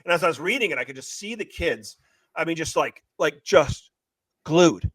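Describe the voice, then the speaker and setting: A man talking as an interviewed author and screenwriter, retelling a story in an excitable, emphatic, repetitive way. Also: a little high, moderate pace, slightly rough, no echo. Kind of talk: animated promotional interview talk, retelling a story.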